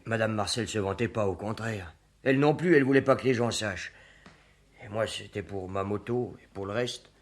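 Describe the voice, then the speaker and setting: An actor playing an ashamed young working-class suspect in a French radio play, pleading in halting, colloquial speech. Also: male voice, lowish voice, close to an everyday voice. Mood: confessional, ashamed.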